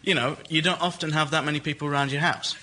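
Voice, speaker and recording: A man's voice: on the high side, fairly even intonation, fast, heavy background noise. A man, a member of the public, casually explaining and defending an idea on a comedy show. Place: live venue with a studio audience.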